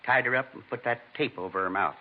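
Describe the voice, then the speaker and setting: An actor playing a shaken, indignant man of fifty-two in a vintage radio drama, with an American accent and halting, earnest, plain speech. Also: medium pitch, lightly acted. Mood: distressed, bitter. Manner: halting, earnest, plain-spoken, repeats himself. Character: shaken, indignant fifty-two-year-old husband, a robbery victim. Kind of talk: 1950s radio acting. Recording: muffled, noisy old radio recording.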